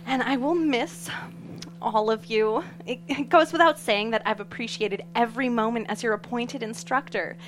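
A woman's voice: a little high, moderate pace, close to an everyday voice. A woman reading the part of an upbeat aerobics instructor in her thirties in a comedy script table read, peppy and sentimental.

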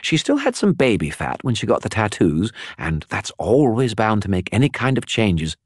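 A man's voice: lowish voice, wildly swooping pitch, moderate pace, dry recording, studio quality, voice pushed up. A man voicing a chatty, breezy male spirit living in a skull. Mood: glib.

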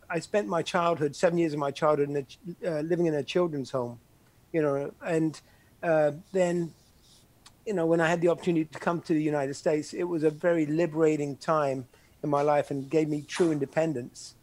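Older man, higher voice, reflective. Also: fairly even intonation, a little mellow. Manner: halting, many restarts and "you know". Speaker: interview guest, former soccer player. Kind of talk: reflective conversational interview.

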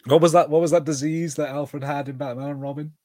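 A man's voice: fairly high pitch, fairly even intonation, fast talker, mellow. A man co-hosting a casual watch-party film chat in an informal, conversational manner. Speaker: podcast co-host.

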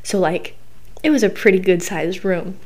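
A young woman with a hoarse, worn-out voice, hosting a podcast in a rambling, chatty way full of fillers. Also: medium pitch, normal speed, darkish tone. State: hoarse, recovering from losing her voice. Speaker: podcast host. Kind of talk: casual solo podcast chat.

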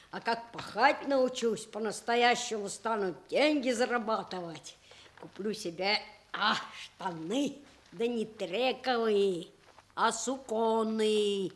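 A woman's voice: a little high, expressive, takes it slowly. A woman playing a cocky, teasing village boy with mocking rustic speech in a radio play. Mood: boastful. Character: cocky teasing village boy Sanka, slightly older tough.

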